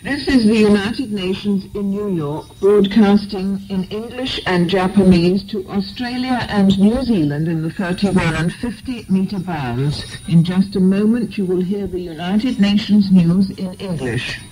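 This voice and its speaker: A woman's voice: medium pitch, unhurried, hardly any pauses, rough recording. A woman giving a formal scripted station identification as a radio announcer on an old shortwave broadcast. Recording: 1970s shortwave radio, crowd-like babble behind.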